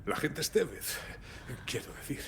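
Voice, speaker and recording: A man's voice: big pitch swings, moderate pace, creaky, fairly bright tone, heavily acted. An actor playing a wry, world-weary, blunt and stoic lawman in dramatic video game dialogue. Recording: faint background rumble and noise.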